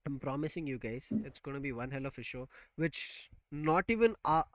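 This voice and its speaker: A man casting a live esports match remotely over a headset mic, talking loudly and excitably to build hype. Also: mid-range voice, moderate pace, dry recording. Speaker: esports caster.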